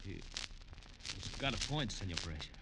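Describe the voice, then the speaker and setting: A man's voice: deep voice, very animated, a little slow, background noise, exaggerated voice. An actor playing a sly but easily rattled gunman in a vintage radio crime drama. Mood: wary, hesitant. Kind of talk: 1940s-50s radio crime acting.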